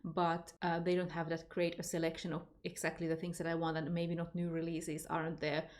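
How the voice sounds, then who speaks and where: A woman's voice: fairly low pitch, completely flat delivery, a little fast, darkish tone. A woman from Finland with a Finnish accent, talking casually in a book vlog and answering tag questions with loose fillers and restarts. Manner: chatty, unscripted, with fillers and restarts. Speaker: book vlogger.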